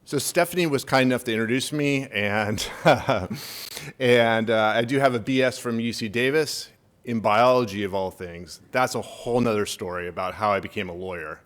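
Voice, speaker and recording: A man's voice: medium pitch, normal speed, fairly bright tone. A middle-aged man, a lawyer, giving a friendly, self-deprecating workshop talk with a microphone in a church hall. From Northern California. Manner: self-deprecating, chatty, with fillers. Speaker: estate planning lawyer, panelist. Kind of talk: workshop presentation. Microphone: handheld microphone.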